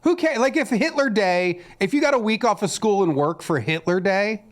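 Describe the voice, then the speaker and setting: A man's voice: high-pitched, lively intonation, normal speed. A man co-hosting a casual podcast, ranting in a crude, sarcastic way. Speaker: podcast co-host.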